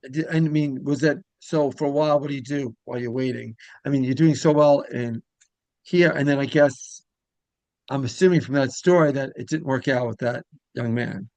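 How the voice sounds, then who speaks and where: A man's voice: medium pitch, normal speed, darkish tone, dry recording. A man hosting an interview show, asking loose, rambling questions in a casual way.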